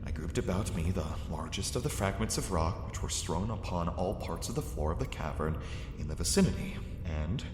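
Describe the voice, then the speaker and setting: A man's voice: deep voice, expressive, brisk. A man acting a terrified lost explorer, narrating his ordeal in formal, theatrical gothic horror style. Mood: grave dread. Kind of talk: dramatic first-person gothic horror narration. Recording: echoey cavern-like reverb, faint noise.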